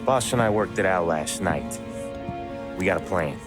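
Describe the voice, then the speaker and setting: An actor playing a cool, pragmatic American mobster in a game cutscene, measured and deadpan. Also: male voice, sits low. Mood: calm, scheming. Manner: measured, deadpan, matter-of-fact. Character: cool, pragmatic, wry veteran mobster man. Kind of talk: video game cutscene acting. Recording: loud music behind the voice.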